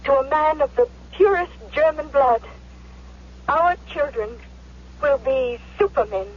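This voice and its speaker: A woman's voice: high-pitched, a little slow. An actress playing a gushing, devoted young German woman in a 1970s radio drama. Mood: fervent, proud. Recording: muffled old radio broadcast.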